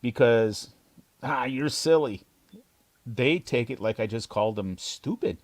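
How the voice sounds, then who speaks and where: A man's voice: mid-range voice, unhurried. Casting: American man, casual co-host, frank and chatty. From United States. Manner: chatty, frank storytelling with bits of Filipino words. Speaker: podcast co-host. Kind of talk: casual chat.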